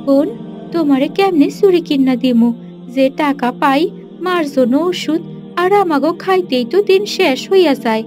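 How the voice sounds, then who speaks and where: A woman's voice: high voice, normal speed, over music, lightly acted. A woman voicing a poor, dutiful village girl in a cartoon, plaintive and humble, with a rural Bangladeshi accent. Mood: tender, worried.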